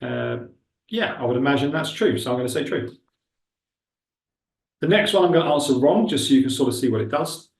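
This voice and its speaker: A man's voice: medium pitch, expressive, a little fast, gravelly, long pauses, recorded from a distance. A man with a Milton Keynes accent giving a casual tutorial, chatty and thinking aloud as he clicks through a demo. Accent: Milton Keynes English. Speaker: recruitment trainer. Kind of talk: casual screen-share tutorial. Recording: roomy echo, speaker away from the mic.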